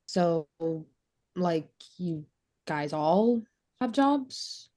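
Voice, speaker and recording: A woman's voice: lowish voice, lively intonation, drawn-out words, mellow. A woman playing a naive, pampered, eager young woman in a sitcom table read. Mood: curious, innocent.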